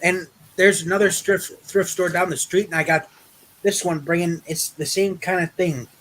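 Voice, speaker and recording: A man's voice: high-pitched, steady tone, normal speed, fairly bright tone, poor audio. A man about thirty, a guest on a live stream, showing thrift-store finds in a hesitant, rambling way. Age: 30s. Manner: hesitant, many fillers. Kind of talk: casual chat.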